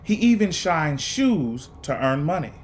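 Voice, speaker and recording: A man reading a children's picture book aloud, phrasing it dramatically and deliberately with emphatic pauses. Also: a little high, big pitch swings, slight background noise. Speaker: storybook reader.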